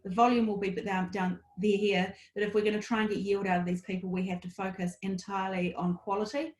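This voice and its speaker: Ski resort manager: upbeat New woman, chatty with ums, echoey room on a video call. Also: medium pitch. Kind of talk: webinar business update. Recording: roomy echo on a video call.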